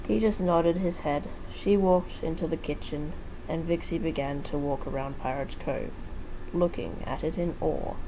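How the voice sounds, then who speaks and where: A woman's voice: sits low, a little mellow, a little hiss or hum, low-quality recording. A woman reading fan fiction aloud as an amateur narrator, with casual asides to listeners.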